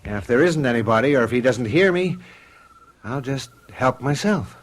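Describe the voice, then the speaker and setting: An actor playing an uneasy, wry husband in a radio drama, casual on the surface and a bit hesitant. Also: male voice, mid-range voice, animated, normal speed, lightly acted. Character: uneasy, wry husband, privately afraid of touching people. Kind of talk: old-fashioned radio drama acting. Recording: noisy vintage radio drama tape.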